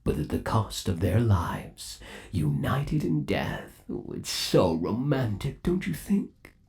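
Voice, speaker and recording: A man's voice: lowish voice, very animated, slow, gravelly, darkish tone, exaggerated voice. A man playing a possessive, lovesick undead animatronic rabbit boyfriend in an ASMR roleplay, cooing in a doting, theatrical and sinister way. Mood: romantic, gleeful.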